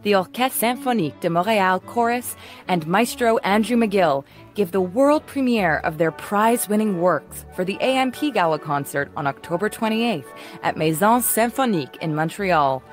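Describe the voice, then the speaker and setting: A woman's voice: medium pitch, animated, normal speed. Woman announcer over faint music. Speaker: advertisement announcer. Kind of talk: scripted promotional narration.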